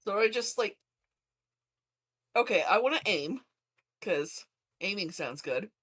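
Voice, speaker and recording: A woman's voice: medium pitch, lively intonation, slow, fairly bright tone, husky. A woman chatting casually as a player in a tabletop game. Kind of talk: casual chat.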